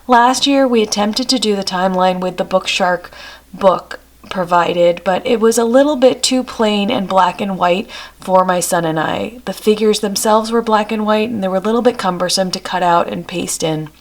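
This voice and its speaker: A homeschooling mother with a hoarse voice from a cold, chatting through a friendly show-and-tell review on her vlog. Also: mid-range voice, normal speed. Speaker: homeschool vlogger. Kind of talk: casual product review.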